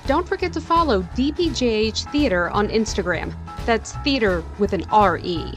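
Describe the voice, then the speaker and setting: A young woman reads the closing credits of a school radio show, brisk and upbeat. Music plays clearly behind her. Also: fairly high pitch, animated. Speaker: announcer. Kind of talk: reading end credits.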